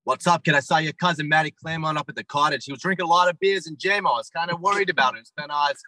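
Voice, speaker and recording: A man's voice: on the high side, racing, bright, forceful tone, no room sound, low-quality recording. A man putting on a gossipy, chummy, rambling Boston local with a Boston accent in casual comic banter. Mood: chummy gossip.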